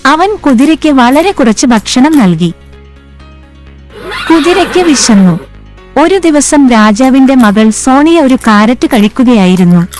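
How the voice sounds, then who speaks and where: Higher-voiced woman narrating children's stories over music. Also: animated, unhurried, a little mellow. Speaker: story narrator. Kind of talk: scripted children's moral story narration. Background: background music.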